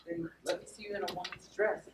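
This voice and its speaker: A man's voice: high-pitched, fairly even intonation, fast talker, creaky. A man playing a gracious, lovestruck noble lord in a theatrical stage drama. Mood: courtly. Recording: echoey room, distant mic, faint noise.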